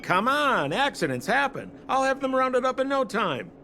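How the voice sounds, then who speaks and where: A man's voice: extremely high pitch, big pitch swings, moderate pace, sharp tone, a little hiss or hum, heavily acted. An actor playing a glib, roguish businessman in an English video game dub, theatrical, slick and wheedling. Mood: breezy, excusing.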